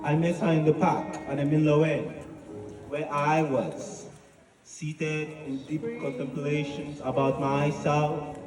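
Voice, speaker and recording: Echoey, higher-voiced man delivering solemn spoken word. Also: flat delivery. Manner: solemn, deliberate, declamatory with pauses. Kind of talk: poetic dramatic monologue, oratory. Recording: echoey, distant voice with music behind. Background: music.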